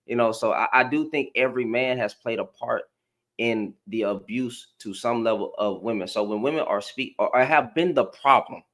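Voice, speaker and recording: A man's voice: mid-range voice, normal speed, a bit bright. A man from Houston with a Southern accent, hosting a live panel discussion in a rambling, slangy way with many restarts. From Houston, Texas. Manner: rambling, repeats words, slangy.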